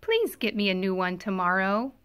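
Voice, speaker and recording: A woman's voice: mid-range voice, sing-song, normal speed, a bit bright, clean tone, voice pushed down. Woman reading as a fussy storybook possum. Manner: politely bossy. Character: fussy, presumptuous male possum.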